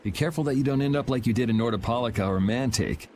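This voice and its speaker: A man's voice: mid-range voice, racing, background noise, lightly acted. A man playing a laid-back young swordsman in a video game, speaking in a dry, matter-of-fact way. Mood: calm, wry.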